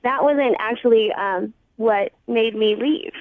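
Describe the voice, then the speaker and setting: A woman's voice: a little high, slow pace, no echo. A woman talking casually on a telephone call to a radio show, a little hesitant and wryly amused. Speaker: phone-in guest. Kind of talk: casual phone conversation. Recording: thin narrow telephone line.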